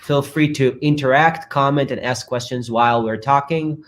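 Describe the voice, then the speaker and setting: A man moderating a live online fireside chat, upbeat and quick through long sentences on a video call. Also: mid-range voice, a bit bright. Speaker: moderator and startup founder.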